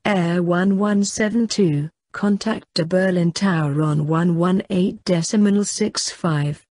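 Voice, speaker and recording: Slow, lowish-voiced woman giving controller instructions. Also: a little mellow, no room sound. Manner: measured, formulaic. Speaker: air traffic controller in an English lesson. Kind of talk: scripted air traffic control phraseology.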